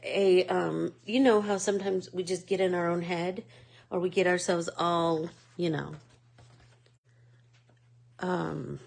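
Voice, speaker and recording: A woman with a Midwest accent talks slowly and in a low voice while hosting an art class. Her voice has a gravelly fry. She rambles through her thoughts with plenty of fillers. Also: steady tone, a little mellow. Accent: American Midwest. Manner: chatty, rambling, many fillers. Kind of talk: casual chat while painting.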